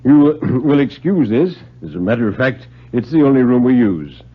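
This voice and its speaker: A man's voice: mid-range voice, big pitch swings, normal speed, breathy edge. A man voicing a genial but secretive retired English police detective in a radio story, courteous and commanding. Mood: genial, brisk. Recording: muffled vintage 1950s radio recording.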